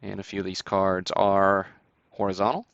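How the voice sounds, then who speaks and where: A man with a deep, smooth voice hosts a card break stream, reading out items and names in an easygoing, casual way. The sound is very dry and close. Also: little pitch movement, moderate pace, a bit bright, dry recording, muffled recording. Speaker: host of a sports card break livestream. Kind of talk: casual livestream commentary, calling out cards and buyers.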